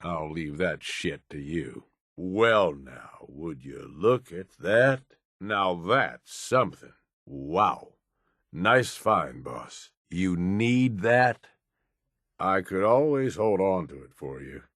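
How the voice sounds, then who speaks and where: A deep, gruff American raider lieutenant for a video game. He is blunt and cynical yet loyal, talking in rough, folksy slang. His delivery moves widely in pitch, and the recording is dry and close. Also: big pitch swings, slow pace, a bit bright, no echo, heavily acted. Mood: grudging, then impressed. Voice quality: low, gruff. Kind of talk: video game companion voice lines.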